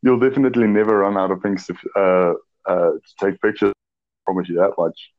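A South African man in his twenties speaks with a South African accent in an eager, halting way, with lots of "uh" and "um." His voice sits a bit low. The sound is dull and lo-fi. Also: fairly even intonation. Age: 20s. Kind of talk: casual chat.

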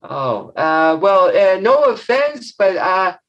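A man's voice: sits high, very animated, takes it slowly, dull audio, voice pushed up, heavily acted. A man voicing a brave, clever little girl in a raised, earnest voice. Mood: nervous, clever.

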